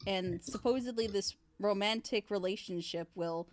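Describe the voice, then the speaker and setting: A woman's voice: medium pitch, normal speed. A woman hosting a casual group critique, giving her opinions and explaining them at length. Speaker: host of a panel commentating on audio dramas. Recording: home mic with clear background noise.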